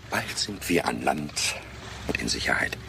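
An actor playing an irritable, sarcastic fugitive doctor who blusters, in a German radio drama. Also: male voice, very deep voice, big pitch swings, a little slow, creaky, bright, forceful tone, slight background noise, heavily acted. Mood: sardonic, tense. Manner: sarcastic, dismissive.

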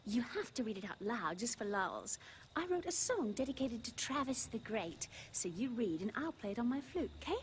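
A woman's voice: fairly high pitch, sing-song, normal speed, a little hiss or hum. Giddy, girlish swooping fangirl schoolgirl. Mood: excited, pleading. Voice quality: girlish, giggly. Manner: gushing, hesitant teen slang, sudden swoops. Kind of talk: video game cutscene acting.

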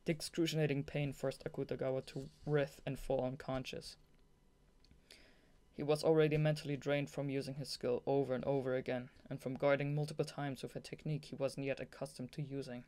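Young narrator with a mid-pitched voice. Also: brisk. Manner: giggly, excitable asides between read passages. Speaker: audiobook reader. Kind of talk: scripted novel narration with blooper asides.

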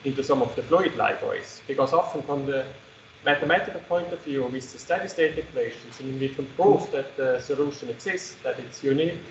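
A man in the audience asking a measured, precise technical question. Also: mid-range voice, echoey room, muffled recording, slight background noise.

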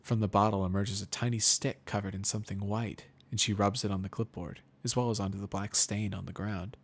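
A man's voice: fairly low pitch, steady tone, fairly quick, slightly rough. A man narrating a first-person story as a dramatic reading. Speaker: narrator, reader of fan fiction.

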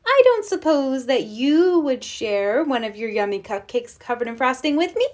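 A woman's voice: high-pitched, sing-song, normal speed, a little mellow, voice pushed up. A woman voicing a polite, hopeful female fox with a sing-song, coaxing manner in a children's storybook. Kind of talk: children's storybook read-aloud.

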